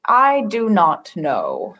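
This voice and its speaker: Woman playing a slow, measured, stern alien ruler. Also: on the low side, huge pitch swings, pushed and bright, highs cut off, heavily acted. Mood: cryptic, unbending. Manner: formal, measured, literal, unbending. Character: stern, cryptic alien religious and political leader. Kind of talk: sci-fi comedy radio acting.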